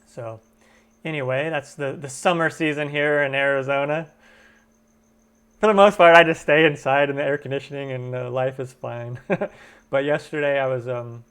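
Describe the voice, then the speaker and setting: A man talks casually on a live stream, with a voice of ordinary, middle pitch. He greets viewers by name and chats through their comments in a relaxed, easygoing way. The sound comes from a computer webcam microphone at home. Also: moderate pace, smooth. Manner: chatty, greets viewers and answers chat comments. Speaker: cooking channel host.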